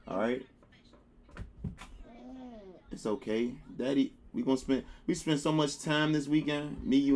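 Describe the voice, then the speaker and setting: A man hosting a reaction video at home, chatting casually with playful slang. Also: mid-range voice.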